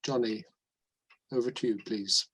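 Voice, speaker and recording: A man chairing an online discussion, speaking over a video call at a mid-range pitch. He thanks the speakers courteously and adds a light joke before introducing the next one. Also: steady tone, unhurried, breathy edge. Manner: courteous, gently humorous introductions. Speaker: webinar chair. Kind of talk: chairing a panel.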